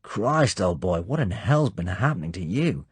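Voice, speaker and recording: A man voicing a brisk middle-aged British station chief who talks in a hearty, clipped, urgent way. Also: low-pitched, big pitch swings, breathy edge, no echo, heavily acted.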